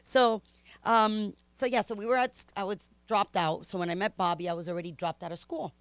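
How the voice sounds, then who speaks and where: Miami accent lady, spanish first language, talks animated and chatty, dry close mic. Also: medium pitch, fairly quick, no room sound. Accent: Spanish-influenced Miami. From Miami, Florida. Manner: animated, chatty, frequent ums and laughs. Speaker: guest. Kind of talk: candid podcast storytelling.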